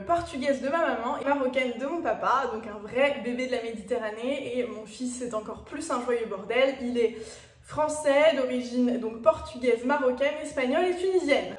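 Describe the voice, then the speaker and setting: A woman's voice: on the high side, echoey room, recorded from a distance. A woman in her twenties with a Parisian French accent, talking to viewers in a chatty personal vlog. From France. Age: 20s. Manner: chatty, direct address to viewers, frequent fillers. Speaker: YouTuber. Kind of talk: casual personal vlog talk.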